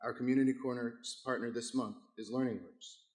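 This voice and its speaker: A hoarse man, hosting a breakfast business forum as chamber president and reading the sponsor list in a formal, scripted way, now and then stumbling over a word. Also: mid-range voice, steady tone, fairly quick, darkish tone. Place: large event hall. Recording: echoey banquet hall.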